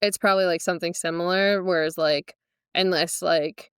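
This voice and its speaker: A woman's voice: lowish voice, steady tone, normal speed, smooth, dry recording, studio quality. A woman co-hosting a podcast, explaining book details in casual, tentative conversation. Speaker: podcast co-host. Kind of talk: casual book discussion chat.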